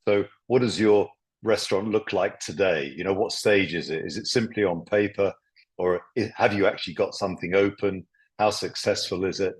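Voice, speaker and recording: A man chairing a business webinar, speaking in a relaxed, conversational way with lots of hedging words. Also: low voice, moderate pace, slightly rough. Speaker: company chairman. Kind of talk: webinar presentation.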